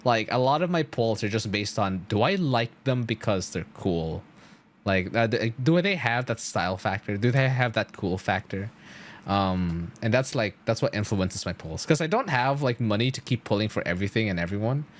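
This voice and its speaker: A man's voice: lowish voice, lively intonation, normal speed. A man streaming a game, chatting casually to viewers in an enthusiastic, slangy, rambling way.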